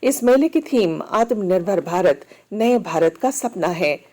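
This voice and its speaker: A woman reads a scripted regional news bulletin in a measured, formal manner. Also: mid-range voice, lively intonation, mellow. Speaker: news reader.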